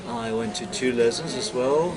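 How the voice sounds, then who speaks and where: A man's voice: mid-range voice, moderate pace, low-quality recording. Man teacher over crowd noise. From Spain. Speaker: secondary school English teacher. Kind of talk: interview answers. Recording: very loud crowd chatter behind.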